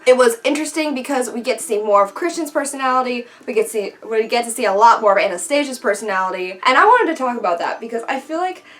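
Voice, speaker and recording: A woman's voice: fairly high pitch, fairly bright tone. A woman hosting a book review video, chatting casually and informally to her viewers as friends. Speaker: host of a book review channel.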